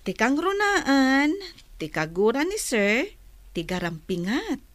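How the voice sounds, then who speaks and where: A woman's voice: sits high, big pitch swings, slow pace, no echo, full character voice. A woman with an Ilocano accent playing a prying, catty office superior with a pointed manner in a radio drama. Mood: sarcastic, scolding.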